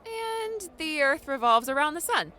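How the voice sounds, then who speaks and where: A woman's voice: high voice, very animated, a little slow, pushed and bright, heavily acted. A woman voicing a playful, friendly young girl in an audiobook, chatty and explanatory. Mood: chatty, informative.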